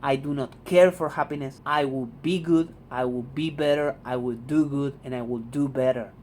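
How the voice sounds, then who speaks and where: A man's voice: medium pitch, steady tone, normal speed, darkish tone, slight background noise. Blunt young Argentine man. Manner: blunt, repeats "man", swears freely. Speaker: vlogger. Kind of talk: casual talking-head monologue. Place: home room.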